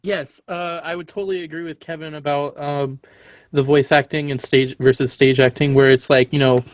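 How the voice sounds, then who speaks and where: A man, a voice actor, talking hesitantly and softly on a panel. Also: a little high, little pitch movement, normal speed, dry recording. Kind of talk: casual panel chat.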